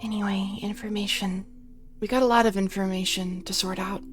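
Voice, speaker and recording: A man's voice: high-pitched, fairly even intonation, brisk, faint music behind, voice pushed up. A man voicing a shy, self-doubting young woman who hesitates and trails off into near-whispers. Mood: timid. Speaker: streamer voicing game characters.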